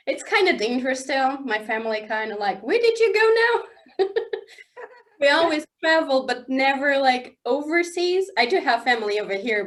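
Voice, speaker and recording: Woman, English, halting delivery, fairly high pitch, guest in a casual studio chat. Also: fairly bright tone. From southern Germany. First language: Swiss German. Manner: halting, searching for English words, laughs often. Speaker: interview guest. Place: indoor studio under lights.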